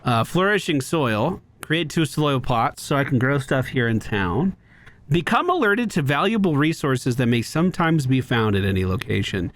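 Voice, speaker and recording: A man's voice: medium pitch, sing-song, normal speed. A man giving casual, playful video game commentary, explaining things expressively as he plays. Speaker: video game player and host.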